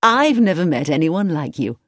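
A woman's voice: fairly low pitch, sing-song, normal speed, dry recording, clean studio sound. A woman voicing a cheerful, admiring young man who exclaims eagerly.

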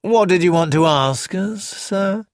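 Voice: medium pitch, unhurried, no room sound, voice pushed up, close to an everyday voice. A narrator voicing a composed, pleasant young man who talks unhurriedly, in a dry studio recording. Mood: calm.

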